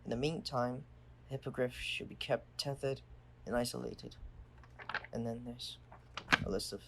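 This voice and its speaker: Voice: mid-range voice, fairly even intonation, normal speed, gravelly, background noise, natural voice. A performer playing an earnest, loyal schoolboy wizard in an audio drama. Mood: reading gravely.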